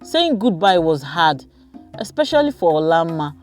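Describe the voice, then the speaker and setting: A woman's voice: low-pitched, very animated, normal speed, soft background music. A woman narrating a scripted folktale for children as a storyteller.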